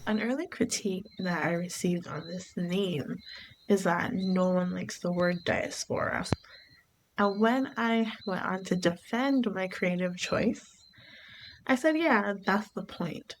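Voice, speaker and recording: A woman from Barbados hosting her own podcast, talking through her thoughts in an unhurried, reflective way. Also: fairly low pitch, slight background noise, low-quality recording. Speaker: podcast host. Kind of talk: reflective solo podcast monologue.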